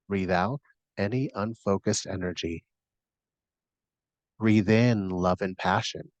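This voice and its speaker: A man with a fairly low voice leads a guided meditation. He reads scripted instructions and imagery in a soothing, measured way, and the recording is close and dry. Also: darkish tone, no echo, dull audio.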